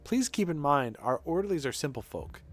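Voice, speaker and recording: A man voicing a proud, grave young aristocratic heir from a video game, speaking in a formal, measured, solemn way. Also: on the high side, very animated, a little hiss or hum. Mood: explaining. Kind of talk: dramatic reading of game dialogue. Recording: game music behind the voice.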